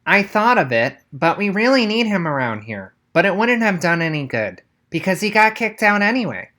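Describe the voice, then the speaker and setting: A woman's voice: mid-range voice, big pitch swings, moderate pace, fairly bright tone. A woman voicing a nonchalant, brainy schoolboy in a storybook, with a matter-of-fact manner.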